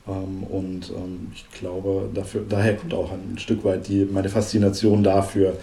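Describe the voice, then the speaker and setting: A man from Thuringia with a light Thuringian regional accent, a linguist guest speaking haltingly with many fillers in an academic interview. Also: sits low, monotone, dark tone, echoey room, distant mic, a little hiss or hum.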